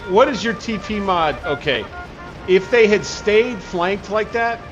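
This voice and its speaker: A man hosting a casual multiplayer gameplay session, relaxed and joking, often muttering quietly to himself. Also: high voice, a little slow, muffled recording, over music. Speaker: channel host. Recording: noisy game audio behind.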